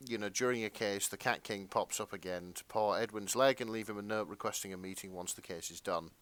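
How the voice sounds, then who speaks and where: A man's voice: lowish voice, a little fast, a bit bright, clean tone. A man co-hosting a podcast in casual chat, feeling his way through sentences with hesitant phrasing. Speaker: podcast co-host.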